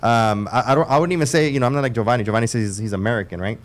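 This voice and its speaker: A Texan man in his forties talks podcast-style, emphatic and slangy. He stumbles through restarts and repeats words as he pushes his point. His voice sits at an ordinary middle pitch. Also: racing. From Houston, Texas. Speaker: podcast host, realtor. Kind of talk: casual podcast chat.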